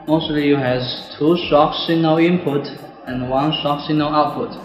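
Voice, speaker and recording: A young man presents a product demonstration in a scripted style. His voice sits a little high. The room is strongly reverberant, and music plays behind him. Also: fairly even intonation, normal speed. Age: 20s. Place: bare indoor office or classroom.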